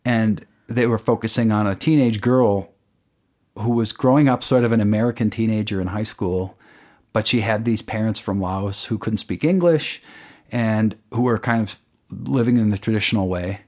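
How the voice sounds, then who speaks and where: Low-voiced chatty songwriter man. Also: moderate pace, darkish tone. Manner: chatty, explains at length with many fillers. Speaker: songwriter guest. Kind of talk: casual radio interview chat.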